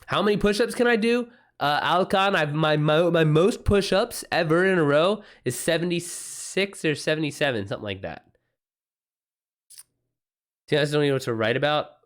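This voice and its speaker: A man's voice: fairly high pitch, normal speed. A man hosting a livestream about writing, chatting casually and unscripted, thinking aloud with informal slang. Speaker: livestream host and author giving writing advice.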